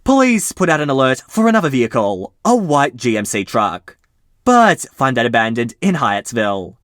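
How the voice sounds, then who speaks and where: A man reads mystery posts aloud for his channel, his voice rising and falling with lots of movement while staying mid-pitched. He drops in casual asides between the facts. The sound is close and dry, with no room around it. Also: normal speed, dry recording. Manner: lively storytelling delivery. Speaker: channel host reading posts.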